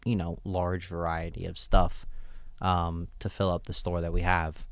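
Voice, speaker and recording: A man's voice: low voice, measured pace, creaky, clear, resonant tone, no room sound, clean studio sound. A man who owns a shop, answering interview questions in a casual, loose way with lots of "right?" and "you know". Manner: relaxed, loose, many fillers and "right?" tags. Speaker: store owner, guest.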